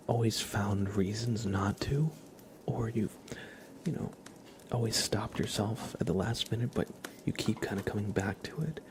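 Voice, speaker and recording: A man speaking softly and gently in a casual ASMR livestream style, often drifting into a whisper. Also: mid-range voice, creaky. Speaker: ASMR live streamer, host. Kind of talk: soft-spoken ASMR livestream, casual chat and tarot reading.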